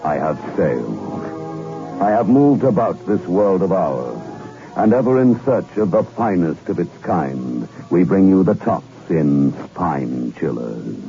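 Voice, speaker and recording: A man's voice: sits low, big pitch swings, slow, gravelly. A man announcing a horror radio series in a grand, dramatic way. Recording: dull old radio recording over loud music.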